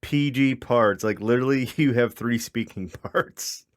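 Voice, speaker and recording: A man's voice: medium pitch, unhurried. A man co-hosting a casual comedy podcast, speaking in informal, joking banter. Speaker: podcast co-host.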